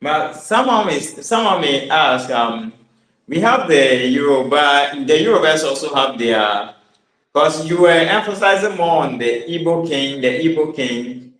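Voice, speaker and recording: A man hosting an online interview, asking calm follow-up questions and thanking his guest. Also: on the high side. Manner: measured, asks follow-up questions. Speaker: host. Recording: echoey room sound.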